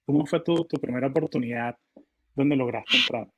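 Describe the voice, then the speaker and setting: A man hosting a podcast, asking questions in a relaxed, chatty way with frequent restarts. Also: medium pitch, brisk. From Latin America. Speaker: podcast host. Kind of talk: conversational interview.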